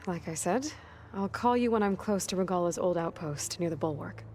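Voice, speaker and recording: Level-headed, dry young heroine, lowish voice a woman acting. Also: moderate pace, darkish tone, soft background music, close to an everyday voice. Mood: guarded, practical. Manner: dry, terse, practical. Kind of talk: naturalistic video game acting.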